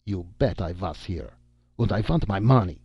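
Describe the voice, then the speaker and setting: An indignant old German American craftsman played by a male narrator insists on his due with a German accent. The voice is gravelly and stubborn, in a roomy storybook recording. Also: low voice, lively intonation, moderate pace, heavily acted. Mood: angry, demanding. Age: older. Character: short plump elderly German American tattooist, angry, demanding payment. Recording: dull, roomy amateur audiobook recording.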